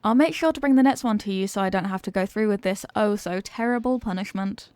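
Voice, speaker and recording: A woman's voice: medium pitch, fast, a little mellow, no echo, natural voice. A woman playing an evasive, flustered popular cheerleader being tutored, in an acted ASMR roleplay with a hesitant, defensive delivery. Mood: haughty, teasing. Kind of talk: ASMR roleplay, acted one-sided conversation.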